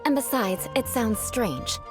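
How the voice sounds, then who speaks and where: An actress playing a proud, aloof young noblewoman knight in a video game, speaking formally and with measured aristocratic diction about exacting what she is owed. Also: fairly high pitch, animated, music in the background. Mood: haughty, instructive.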